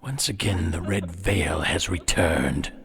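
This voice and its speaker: A man's voice: low voice, slow, gravelly, a bit bright, slight background noise, full character voice. An actor playing an imperious, impatient, brooding king in a theatrical audio drama, speaking with command. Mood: anguished, brooding. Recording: long echoing hall reverb.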